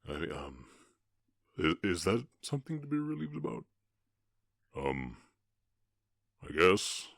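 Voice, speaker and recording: Man voicing a very deep, slow orc cowboy. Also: sing-song, creaky, fairly bright tone, no room sound, clean studio sound, exaggerated voice. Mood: tender, flustered. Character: gruff male orc cowboy, fierce yet tenderly protective. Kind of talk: ASMR roleplay voice acting.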